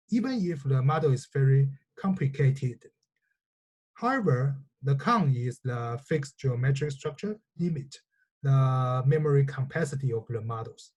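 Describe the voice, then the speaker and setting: A man whose first language is Mandarin, giving a measured, explanatory technical lecture. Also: mid-range voice, darkish tone.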